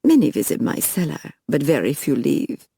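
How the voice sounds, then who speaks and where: A plump, dandyish male vampire with a French accent drawls his lines in a mincing, theatrical way, voiced by a woman reading a storybook. The voice sits low and close, recorded dry with no room around it. He sounds delighted by his own menace. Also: wildly swooping pitch, creaky, mellow, breathy edge, no echo, full character voice. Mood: silky menace. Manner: mincing, theatrical, drawn-out delivery. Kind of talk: audiobook character voice.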